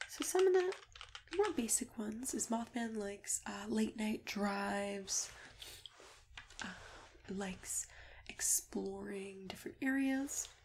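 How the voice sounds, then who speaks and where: A woman doing a soft-spoken, often whispered ASMR roleplay as a kindly, gently chatty matchmaker who reassures her client. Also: mid-range voice, animated, slow. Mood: helpful, chatty. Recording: clearly noisy, keyboard typing and clicks.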